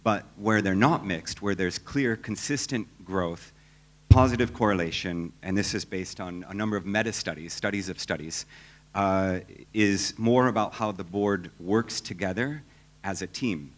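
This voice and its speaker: A man answering as a panelist at a conference, measured and thoughtful, explaining his points step by step. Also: low voice.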